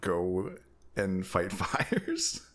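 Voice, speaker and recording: Deep-voiced man, lively intonation. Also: drawn-out words. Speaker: gaming podcast co-host. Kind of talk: casual chat among friends.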